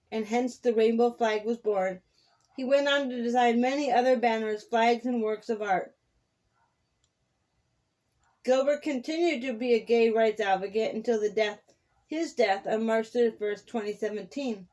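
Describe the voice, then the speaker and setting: A woman's voice: a little high, darkish tone. A woman hosting storytime for children, narrating in a theatrical, sing-song way and stumbling now and then as she corrects herself.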